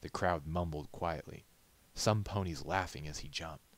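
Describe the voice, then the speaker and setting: A man's voice: a bass voice, moderate pace. A man reading a story aloud as a narrator, in scripted storybook style.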